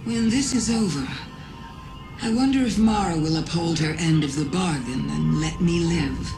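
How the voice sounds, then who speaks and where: A woman voicing a cunning, taunting alien witch-god in a theatrical, insinuating video game monologue full of pointed pauses. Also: fairly low pitch, close to an everyday voice. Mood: sly, probing. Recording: echoing voice under prominent music. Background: music.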